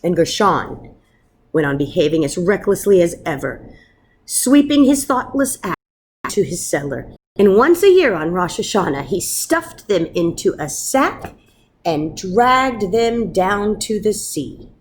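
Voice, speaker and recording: A woman reading a children's story aloud in an expressive, dramatic manner with measured pauses. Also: fairly low pitch, slight background noise. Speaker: storybook reader.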